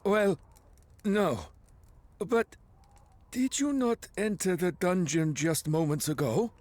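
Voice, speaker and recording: A deferential game guard, high pitched, halting n slow. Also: male voice, a little mellow, no echo. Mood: confused. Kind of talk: video game cutscene acting.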